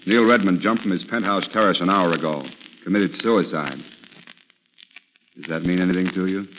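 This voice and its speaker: Gruff police commissioner, deep and no-nonsense; vintage radio crime drama, muffled with faint hiss. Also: male voice, normal speed. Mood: brusque, skeptical. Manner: blunt, brusque, authoritative. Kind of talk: old-time radio drama acting. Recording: muffled, hissy old radio recording.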